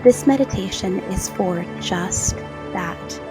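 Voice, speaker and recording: Female meditation guide, mid pitch, gentle soothing pauses, clear new-age music bed. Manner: slow, soothing, soft-spoken with gentle pauses.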